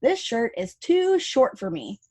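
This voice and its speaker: A woman's voice: on the high side, sing-song, measured pace, warm tone. A woman voicing a fussy male friend in a children's storybook who loves routine and complains in a put-upon way. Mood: exasperated.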